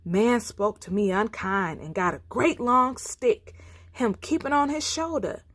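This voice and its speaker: A woman voicing a proud male lion from a children's storybook, with drawn-out, boastful, wailing delivery. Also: on the high side, fairly bright tone, dry recording, studio quality. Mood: shaken, complaining. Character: proud roaring male lion, boastful, later humbled and whiny.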